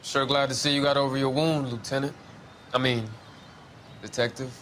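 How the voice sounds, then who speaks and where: A man's voice: mid-range voice, flat delivery, normal speed, a bit bright, slight background noise, lightly acted. An actor playing a defiant, wary Mexican-American ex-serviceman in a 1940s crime video game, streetwise and guarded. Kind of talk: dramatic video game acting.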